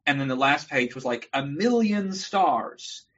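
A man's voice: on the high side, animated, measured pace, fairly bright tone, muffled recording. A man from New Zealand whose speech now sounds mostly American, chatting casually as a guest on a video call and telling wry, rambling stories with comic asides. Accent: mostly American, little of his New Zealand accent left. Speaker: guest creator.

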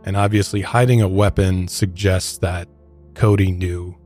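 Male American podcast host, deep register, conversational true-crime narration, faint music underneath. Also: fairly even intonation, a little slow, a little mellow.

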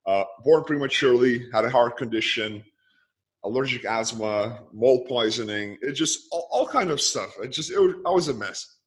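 A man from Lithuania, a coach talking as a podcast guest, quickly listing ideas one after another. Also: mid-range voice, animated, rough recording. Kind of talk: casual podcast interview.

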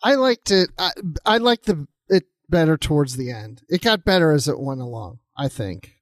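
A man with a fairly high voice talks casually as a podcast co-host. He thinks aloud in a conversational way as he gives his views on a book. The sound is dry, with no room echo. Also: big pitch swings, normal speed.

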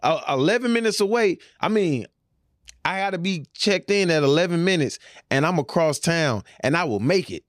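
Guy cohosting a podcast, slangy and emphatic, really lively pitch, dry sound. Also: moderate pace, dry recording. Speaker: podcast co-host. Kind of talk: casual banter.

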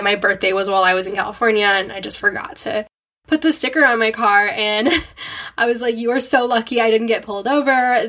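A woman's voice: medium pitch, a bit bright. A young woman with a stuffy head cold, chatting casually and rambling as a podcast host, giggling often. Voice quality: nasal, stuffy. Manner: bubbly, giggly, rambling with lots of "like".

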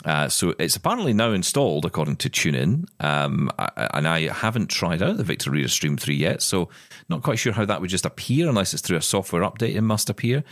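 Low-voiced uk guy cohosting a tech podcast, excited, lots of restarts, dry sound. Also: a little fast, no echo. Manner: enthusiastic, rambling with restarts. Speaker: podcast co-host. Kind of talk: casual tech news chat.

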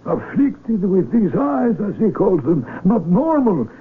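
A tinny radio play features a humane, worried village man. His voice is extremely high and very raspy, with earnest concern. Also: sing-song, a little slow, full character voice. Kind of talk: radio drama acting. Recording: tinny vintage radio broadcast.

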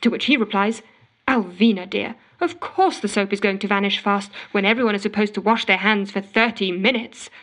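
A woman's voice: mid-range voice, fairly quick, a bit bright, lightly acted. An actress playing an exasperated, frantic office worker, telling her woes melodramatically in an audio drama voicemail monologue. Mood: indignant, fed up. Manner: melodramatic, indignant storytelling with sarcastic quoting. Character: exasperated, frantic office woman ranting to a colleague.

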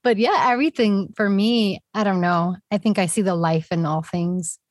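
A woman from New York and Puerto Rico, a podcast guest talking in an animated, casual way and laughing often. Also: medium pitch, moderate pace, dry recording, studio quality.

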